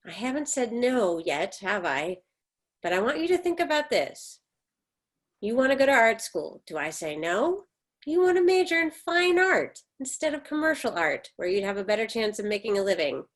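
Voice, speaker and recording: A woman's voice: a little high, sing-song, normal speed. A woman reading the part of an embittered, defensive middle-aged mother in a stage drama, with emphatic, reproachful delivery. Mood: bitter, insistent. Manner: emphatic, reproachful, clipped retorts.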